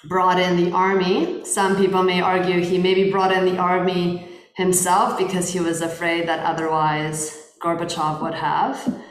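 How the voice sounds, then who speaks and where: Woman, thirties, guest, roomy echo, measured, self-correcting. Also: on the low side. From Poland. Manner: thoughtful, measured, frequent restarts. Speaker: guest lawyer. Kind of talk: interview conversation.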